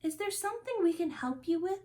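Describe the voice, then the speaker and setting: A woman voicing a caring, worried giraffe parent in a storybook, gentle and concerned. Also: very high voice, animated, fairly quick, pitched above the performer's own voice. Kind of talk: children's storybook character reading.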